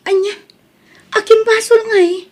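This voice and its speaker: A woman playing a concerned, devout wife who gently chides her troubled husband in an Ilocano radio drama, with a husky voice and Ilocano accent. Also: very high voice, steady tone, moderate pace, a little hiss or hum.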